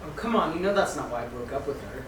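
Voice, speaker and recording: A man playing a defensive, awkward high school boy at a screenplay table read, in casual, hesitant teen speech. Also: lively intonation, quick pace, close to an everyday voice. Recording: echoey, noisy room from far off.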